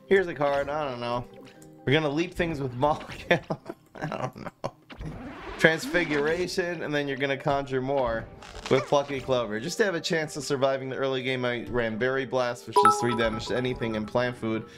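A man's voice: mid-range voice, fairly even intonation, moderate pace. Streamer, man, 30s, loose self-mocking play-by-play, game music underneath. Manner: chatty, self-mocking, reads chat aloud. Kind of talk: casual gameplay commentary. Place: small room indoors.

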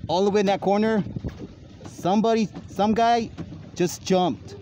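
A man's voice: high-pitched, fairly even intonation, measured pace, dark tone. A young man talking casually to viewers as he hosts a vlog on a phone outdoors. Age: young adult. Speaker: vlogger, channel host. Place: outdoors by a lake, handheld. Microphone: phone at arm's length. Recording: noisy outdoor phone recording.

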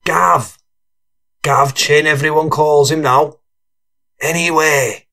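Man acting slow, boastful lad. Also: on the high side, fairly even intonation, sharp tone, exaggerated voice. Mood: gossipy banter. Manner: slangy, teasing. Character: cheerful, boastful, cackling male drug-dealing pal. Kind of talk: full-cast audiobook character acting.

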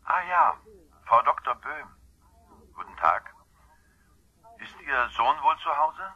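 Composed, husky police inspector. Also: male voice, medium pitch, wildly swooping pitch, takes it slowly, pushed and bright, lo-fi sound, heavily acted. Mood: courteous. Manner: terse, formal, polite. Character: composed, patient male police inspector taking statements. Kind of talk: German crime radio drama acting.

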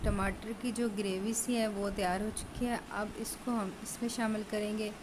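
A woman home cook talks casually through each step of her recipe. Her voice sits at a medium pitch. A phone over the pan picks her up with clear background noise and sizzling. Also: steady tone, normal speed. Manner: chatty, explains steps while cooking. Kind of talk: cooking tutorial narration. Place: home kitchen at a stove. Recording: noisy home recording.

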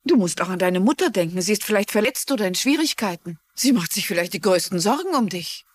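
A woman playing a kindly, concerned middle-aged woman in a dubbed TV drama, gentle and articulate. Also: medium pitch, lively intonation, fast talker, close to an everyday voice. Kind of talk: German-dubbed TV drama acting.

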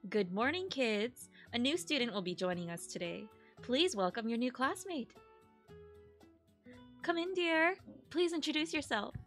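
Woman playing bright, emphatic cartoon schoolteacher. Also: high-pitched, animated, measured pace, faint music behind, heavily acted. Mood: welcoming. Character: brisk, cheery female schoolteacher, easily alarmed. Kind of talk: amateur live webtoon dub.

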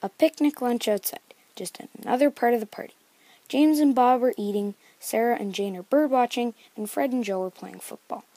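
Brief: female narrator for a homemade book-report video, higher voice, dry sound. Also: moderate pace, mellow, no room sound. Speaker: narrator of a novel study video.

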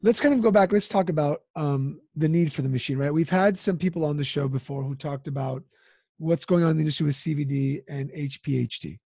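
A man's voice: on the high side, fairly quick, vocal fry, mellow, slightly rough, dry recording. A man in his thirties hosting an interview podcast, speaking into a close studio microphone with a friendly, upbeat manner and long, loose questions. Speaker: podcast host.

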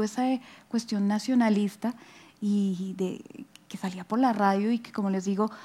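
A woman's voice: on the high side, dark tone. A woman giving an informal public lecture, explaining and often checking with short tag questions. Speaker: lecturer, music researcher. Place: auditorium stage at a book fair. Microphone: headset.

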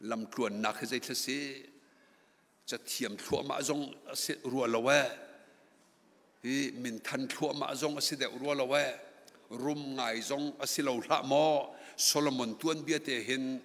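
A man's voice: medium pitch, steady tone, unhurried, fairly bright tone. A middle-aged man preaching a sermon from a pulpit microphone in a large church hall, rising to emphatic passages. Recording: long church hall reverb.

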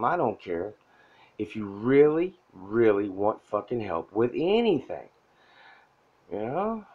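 Soft-spoken man giving a blunt pep talk. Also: medium pitch, dull audio. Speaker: self-help vlogger. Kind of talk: casual motivational talk to camera. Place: indoor room at a whiteboard. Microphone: phone camera mic.

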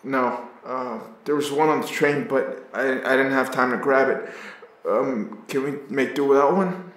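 An actor playing a sardonic, anxious, foul-mouthed young male survivor who narrates in first person for an audio drama, often halting and stammering. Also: mid-range voice, fairly bright tone, roomy sound, lightly acted, poor audio. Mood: nervous, hesitant.

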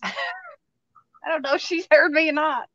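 A woman's voice: sits high, steady tone, moderate pace, bright, forceful tone, muffled recording, slight background noise. A woman in her thirties with a Southern US accent, chatting and joking casually while hosting a craft livestream. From Tennessee, USA. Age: 30s. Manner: chatty, joking, self-mocking.

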